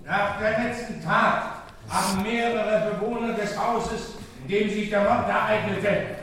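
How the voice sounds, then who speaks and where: A man's voice: high-pitched, a bit bright. An actor playing a confident male official giving a formal, declamatory public address in a radio drama. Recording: very echoey hall, distant, crowd murmur.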